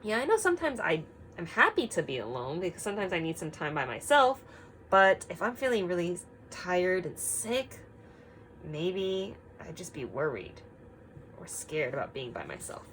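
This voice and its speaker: A woman teaching young children through an expressive read-aloud, prompting them with frequent simple questions. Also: lowish voice, normal speed, slight background noise, rough recording.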